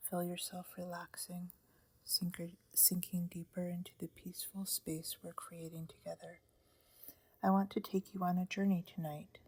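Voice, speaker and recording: Breathy whispering meditation guide, woman. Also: fairly low pitch. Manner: slow, soothing, hushed.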